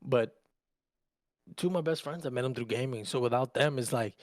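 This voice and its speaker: A man's voice: mid-range voice, brisk, no echo, clean studio sound. A man in his early twenties, from Argentina and raised in Louisiana, chats casually as a podcast co-host, telling personal stories in slangy, sweary language. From Argentina, raised in Louisiana, USA. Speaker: podcast co-host and content creator.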